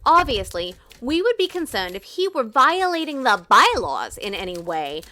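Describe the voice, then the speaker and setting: An exasperated female board officer with a high, lively voice. She is pointed and patronizing, explaining things with strained patience in a comic radio play. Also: moderate pace. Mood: pointed exasperation. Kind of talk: comic audio drama acting.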